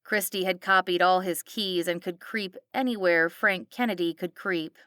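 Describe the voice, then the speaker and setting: Bright-voiced woman narrating horror fiction. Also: on the low side, fairly even intonation, moderate pace, dry recording, studio quality. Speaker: audiobook narrator.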